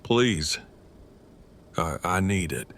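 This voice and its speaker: An anxious, defensive man, played by a male actor in an audio drama. His phrasing is stilted and pedantic, full of elaborate wording. The voice sits at a middling male pitch, with clear noise on the recording. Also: animated, drawn-out words, a bit bright, exaggerated voice. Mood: pleading.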